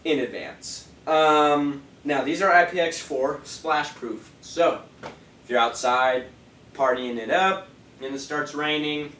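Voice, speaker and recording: A man's voice: fairly high pitch, takes it slowly, fairly bright tone, reverberant, distant mic, a little hiss or hum. A man reviewing party speakers in a loose, rambling way, sniffly and a little nasal from a cold. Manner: rambling, loose, many fillers. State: stuffy nose, sniffly. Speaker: product reviewer. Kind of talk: casual product review.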